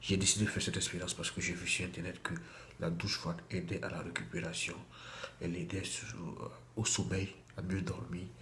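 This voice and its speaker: A man with a cold talks casually to his phone camera in a small bathroom, his voice low and even. He repeats himself and restarts often, and the small room echoes around him. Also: a little fast, slight background noise. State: has a cold. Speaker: vlogger. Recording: echoey room with faint ambience.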